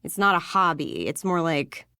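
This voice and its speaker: Casting: misjudged small-town young woman in a game, slightly low voice, frank, casual, dry recording. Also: very animated, normal speed, vocal fry, sharp tone, clean tone, no echo, heavily acted. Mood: casual, reflective. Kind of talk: video game voice acting.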